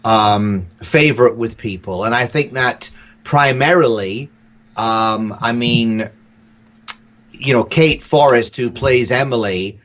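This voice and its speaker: Slightly low-voiced man, hesitant and thoughtful. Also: slow, fairly bright tone, low-quality recording. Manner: hesitant, thoughtful, circling back over phrases. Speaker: audio drama creator, podcast guest. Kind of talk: casual interview chat.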